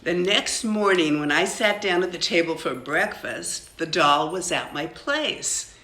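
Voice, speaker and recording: A woman's voice: on the low side, animated, measured pace, sharp tone. An older woman narrating a children's storybook aloud, as a grandmother reading to family. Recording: echoey, roomy sound.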